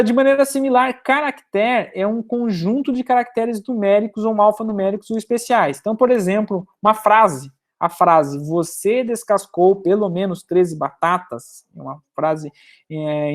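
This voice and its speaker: A woman giving a recorded university programming lecture, explaining step by step and often pausing to check that students follow. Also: medium pitch.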